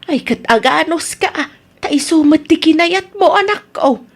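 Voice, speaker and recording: A patient older mother in a radio drama. She speaks with a Filipino accent, concerned and gentle, admonishing frankly. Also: high-pitched, lively intonation, a little slow.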